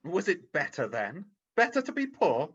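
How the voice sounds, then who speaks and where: An actor playing a defensive, anguished old male miser in a radio-style drama read over a video call, halting and pleading. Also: mid-range voice, wildly swooping pitch, fairly bright tone, husky, heavily acted. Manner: halting, pleading, swings in emphasis. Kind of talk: rehearsed radio-script drama reading. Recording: dull lo-fi video call audio.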